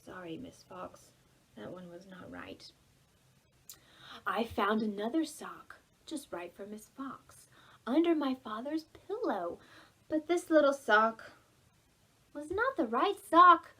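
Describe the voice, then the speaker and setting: A woman leading preschool storytime, reading aloud in an expressive, playful way and speaking directly to young children. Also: on the high side, slow pace, pauses often, slightly rough.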